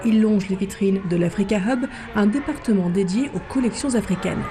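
A woman's voice: mid-range voice, fairly quick. A woman reporter reading a scripted radio report. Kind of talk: scripted news report narration.

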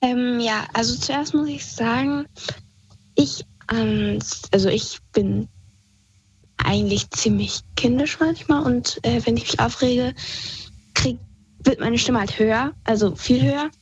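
A woman's voice: a little high, a little slow. A young woman calling into a radio show, telling her story hesitantly and nervously. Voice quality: breathy. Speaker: caller. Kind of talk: phone-in call. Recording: dull phone line.

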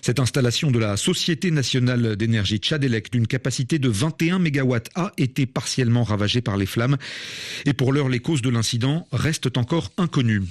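A man's voice: medium pitch, animated, no echo. Formal male radio news anchor, dry studio. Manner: measured, formal delivery. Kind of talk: scripted news reading.

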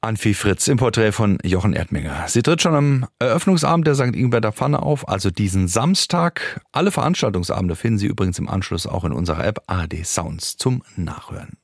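Man, radio host, deeper pitch, touch of vocal fry, scripted. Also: expressive, brisk, few pauses, dry recording, studio quality.

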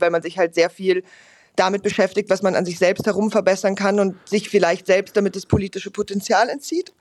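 A woman's voice: medium pitch, no room sound, studio quality. Reflective woman in her thirties from Vienna. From Vienna, Austria. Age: 30s. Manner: thoughtful, self-questioning, loose run-on sentences. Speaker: cabaret artist interviewed. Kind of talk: reflective interview answers.

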